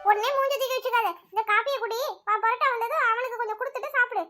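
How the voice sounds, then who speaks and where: A woman's voice: very high-pitched, steady tone, racing, music in the background. A woman voicing a practical mother who scolds and nags her children. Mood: scolding. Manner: scolding, nagging.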